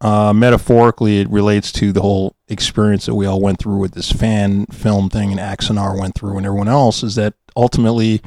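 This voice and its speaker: A man's voice: fairly low pitch, flat delivery, a little fast, hardly any pauses, mellow, no echo, studio quality. A man hosting a casual, rambling podcast after-show, hesitantly searching for words and poking fun at himself. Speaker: podcast host, audio drama producer.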